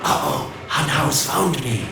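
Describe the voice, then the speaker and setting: A man's voice: fairly high pitch, big pitch swings, takes it slowly, gravelly, full character voice. A man playing a sly, flippant, self-serving schemer in a video game, with a glib, theatrical drawl. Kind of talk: video game character dialogue. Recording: strong echo, music behind.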